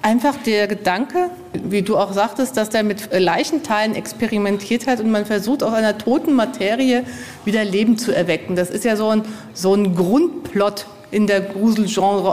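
A woman's voice: medium pitch, darkish tone. A woman speaking as a guest author in casual discussion, enthusiastic and often tagging her sentences with a questioning "ja?". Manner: enthusiastic, tag-question "ja?" endings. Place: historic castle site. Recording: long reverberant hall.

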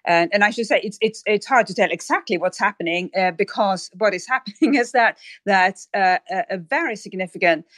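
A woman's voice: mid-range voice, a little fast, few pauses, no room sound, studio quality. A woman speaking as an expert guest in an interview, explaining carefully with hesitant phrasing and repeated words. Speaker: expert guest, writer and analyst.

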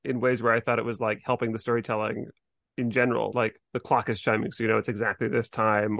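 Fast-talking man co-hosting a podcast. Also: lowish voice, vocal fry, no echo. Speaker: podcast co-host. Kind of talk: casual podcast discussion.

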